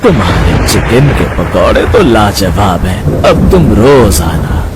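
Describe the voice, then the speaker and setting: A man playing a hearty, food-loving old village uncle in a story, with a drawn-out, appreciative manner. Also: on the low side, big pitch swings, background noise. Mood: delighted.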